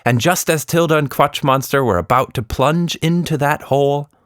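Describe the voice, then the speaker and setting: A man narrating a scripted children's story in an expressive, dramatic way. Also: fairly high pitch, normal speed, dry recording, clean studio sound.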